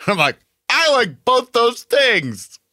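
A man's voice: very high voice, big pitch swings, slow pace, bright, forceful tone, dry recording, studio quality. A man co-hosting a podcast in casual, jokey banter, tossing in quips as he goes. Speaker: podcast co-host.

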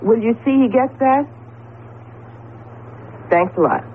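Brisk wife on tinny old radio. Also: female voice, a little high, a little hiss or hum. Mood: businesslike. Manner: playful scolding, expressive, affectionate. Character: affectionate, teasing, brisk professor's wife. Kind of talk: 1970s radio drama acting.

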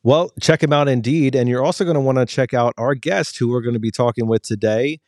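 Podcast co-host: man, mid pitch, dry studio sound, wry humor. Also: a little fast, a little mellow, dry recording. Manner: dry joking, scripted-sounding intro. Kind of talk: casual podcast hosting, reads guest intro.

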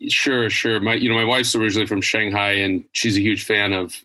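A man's voice: lowish voice, fairly even intonation, a little fast, bright, forceful tone, poor audio. A man from Idaho in his thirties, answering interview questions thoughtfully and often restarting his sentences. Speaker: business executive guest.

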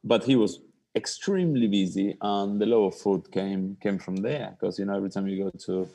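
Low, mellow-voiced Argentine man. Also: a little fast. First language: Spanish. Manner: relaxed storytelling, slightly non-native phrasing. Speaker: chef, guest. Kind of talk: casual interview.

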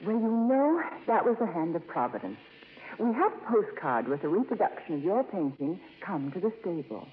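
An actress playing an earnest, devout nun with a polite, gently insistent manner in a 1950s radio drama. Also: female voice, fairly low pitch, big pitch swings, moderate pace, slightly rough, heavily acted. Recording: muffled, reverberant 1950s radio broadcast.

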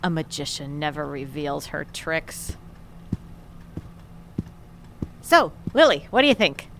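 Mischievous older matriarch, audio drama: wry, theatrical, bossy, highly animated intonation. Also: female voice, moderate pace, vocal fry, slight background noise, exaggerated voice. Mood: wry, affectionate. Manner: imperious, teasing, theatrically wry. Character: feisty, mischievous older mother running a boarding house. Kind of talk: fiction podcast acting.

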